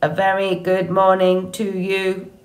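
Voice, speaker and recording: A woman's voice: medium pitch, completely flat delivery, close to an everyday voice. A woman playing a friendly female robot in an audiobook story, speaking with formal, earnest politeness. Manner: friendly, measured, formal without contractions.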